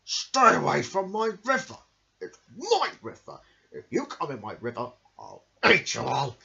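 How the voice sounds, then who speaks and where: A big, snappy male crocodile from a storybook, acted out by a home reader. He is loud and possessive, with pitch that leaps around wildly. The recording is dull and lo-fi. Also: wildly swooping pitch, unhurried, gravelly, exaggerated voice. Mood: angry, bossy.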